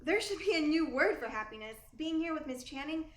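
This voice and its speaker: An actress playing a meek, gushing young aspiring actress in a radio play, earnest and deferential. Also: female voice, high voice, animated, a little fast. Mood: humble, earnest. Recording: roomy, echoing sound.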